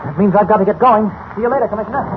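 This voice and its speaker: A man playing a confident, assured newspaper reporter hero explaining things briskly in a 1940s radio serial. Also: high-pitched, natural voice. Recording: scratchy, tinny old radio recording.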